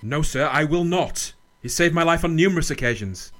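A man playing a loyal, principled army captain in a radio play, earnest, measured and firm. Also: on the high side, expressive, a bit bright. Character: loyal, principled British army captain defending young soldiers. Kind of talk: radio drama acting and narration.

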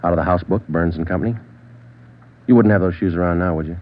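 Guy doing a low voiced cop interrogating someone, clipped n deadpan, old radio drama, dull muffled recording. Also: quick pace, close to an everyday voice. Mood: dry, pressing. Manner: clipped, deadpan, persistent questioning. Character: relentless, dry, matter-of-fact male police detective interrogating a suspect. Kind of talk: 1950s radio crime drama acting.

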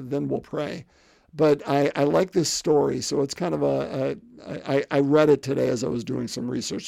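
A man's voice: on the high side, creaky, mellow, no room sound, studio quality. A man in his fifties preaching a sermon in a conversational way, often repeating words and putting rhetorical questions to the congregation. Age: 50s. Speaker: pastor.

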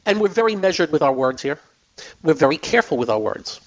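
A man's voice: fairly high pitch, big pitch swings, quick pace. A man hosting a finance radio show, losing his voice, talking casually with fillers and repetition. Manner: chatty, repeats himself, many fillers. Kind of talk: casual radio market talk. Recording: dull lo-fi broadcast sound.

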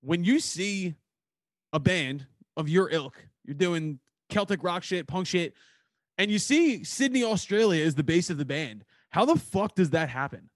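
Man, high voice, dry close sound, podcast host, casual, swearing freely. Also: lively intonation, a little slow, no echo. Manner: profane, slangy. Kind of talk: casual chat.

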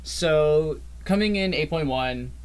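A man's voice: a little high, slow, clear, resonant tone. A man co-hosting a podcast, explaining game patch changes in a loose, rambling way and often trailing off. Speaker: podcast co-host. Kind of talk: casual gaming chat.

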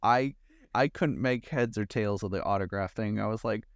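Lower-voiced man chatting about movies. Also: normal speed, no echo. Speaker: podcast co-host. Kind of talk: casual movie discussion.

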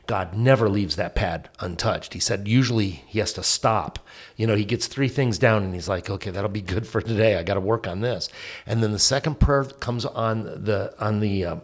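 A man's voice: lowish voice, normal speed. A man on a faith podcast speaking reflectively, telling a story with hesitant fillers. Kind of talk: casual faith podcast chat.

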